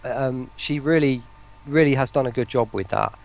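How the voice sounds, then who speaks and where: Man, lower-pitched middle range, gravelly fry, casual interview chat, rambling, enthusiastic. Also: moderate pace. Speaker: voice pack producer, stream guest.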